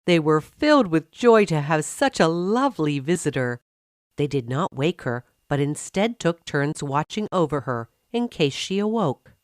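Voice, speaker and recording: A woman narrating a scripted fairy tale for children, expressive and carefully phrased. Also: low-pitched, unhurried, no room sound, studio quality. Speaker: storyteller.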